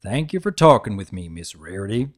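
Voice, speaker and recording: A woman's voice: very deep voice, sing-song, pitched below the performer's own voice, full character voice. Woman doing a shy, folksy farm stallion. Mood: hesitant. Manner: hesitant, folksy, halting. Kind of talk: dramatic audiobook reading.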